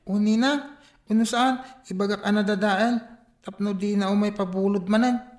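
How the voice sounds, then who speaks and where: A woman's voice: medium pitch, steady tone, moderate pace, darkish tone, echoey room, lightly acted. A woman with a Filipino accent playing a reluctant, embarrassed child in a comedy radio drama, with a whiny manner. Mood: polite.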